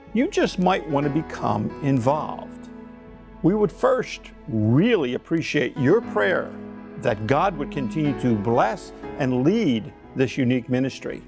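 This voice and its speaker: A man's voice: mid-range voice, gravelly. A man reading a scripted fundraising appeal in an upbeat, appealing manner.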